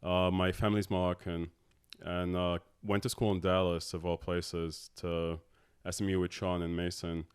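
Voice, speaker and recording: A man in his thirties from Abu Dhabi, a tech co-founder talking as a podcast guest in a halting way with many filler sounds. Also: low-pitched, little pitch movement, clear, resonant tone. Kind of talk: casual interview talk.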